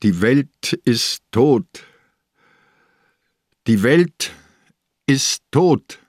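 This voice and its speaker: An older man acting a gruff, wary, sick old police inspector in a German radio drama. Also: mid-range voice, lively intonation, drawn-out words, no room sound, studio quality. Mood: bleak.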